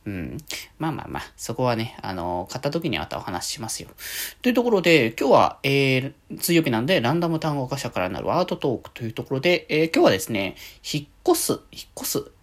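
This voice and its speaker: A man's voice: mid-range voice, very animated, fast. A man hosting a casual solo radio talk, chatting in a rambling, conversational way.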